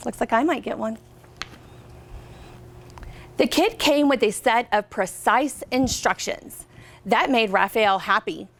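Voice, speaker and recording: An American woman reading a picture book aloud to children as a guest reader, expressively, pausing to ask her listeners questions. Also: fairly high pitch. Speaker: guest reader, company representative.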